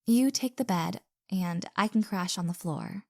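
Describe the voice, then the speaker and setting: An actress plays a caring young woman who is shyly smitten, in an intimate audio roleplay. She takes her time, stammering softly and earnestly, with some vocal fry. The recording is close and dry. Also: on the low side, unhurried, no room sound. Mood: earnest, flustered. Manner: stammering, hesitant, soft and earnest. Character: flustered, caring young woman hosting her secret crush.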